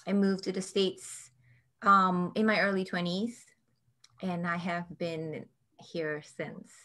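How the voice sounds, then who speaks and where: Woman from Malaysia, forties, bright low voice, relaxed rambling interviewee. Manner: warm, chatty storytelling with frequent ums and quick laughs. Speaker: tarot deck creator and artist. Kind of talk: casual interview answers.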